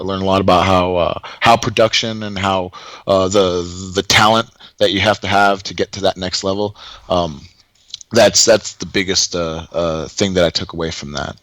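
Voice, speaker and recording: Wrestler guest, man, lowish voice, slow hesitant answers full of fillers, dry close recording. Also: fairly bright tone, no echo. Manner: halting, many fillers and restarts. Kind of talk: interview answers.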